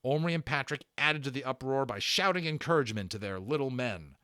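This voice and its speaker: A man's voice: mid-range voice, brisk, pushed and bright, clean tone, dry recording, clean studio sound. A man giving a dramatic, suspenseful storybook narration in a theatrical manner.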